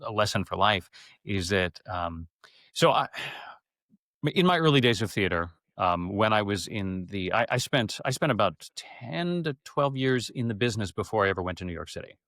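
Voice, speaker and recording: A man's voice: on the low side, lively intonation, normal speed, a bit bright, no room sound, studio quality. A middle-aged man chatting casually as an interview guest, candid and often interrupting himself mid-thought as he tells stories. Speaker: interview guest, stage and radio theater actor.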